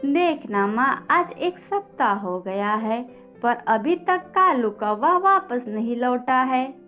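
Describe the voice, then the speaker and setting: A woman's voice: on the high side, expressive, slow, phone-quality sound, faint music behind. A woman voicing an earnest, plaintive female bird shopkeeper in a children's cartoon story. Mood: worried.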